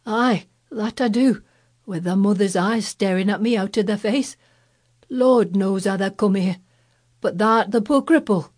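A woman voicing a blunt, gruff old gardener man with a hoarse, shaky voice and broad Yorkshire dialect in a storybook reading. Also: mid-range voice, lively intonation, measured pace, a little mellow. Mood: shaken, stunned. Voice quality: hoarse, quavering.

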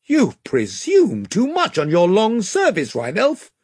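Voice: medium pitch, huge pitch swings, measured pace, darkish tone, husky, no room sound, studio quality, voice pushed up, exaggerated voice. A reader voicing a proud young king who speaks in a regal, commanding way. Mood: indignant.

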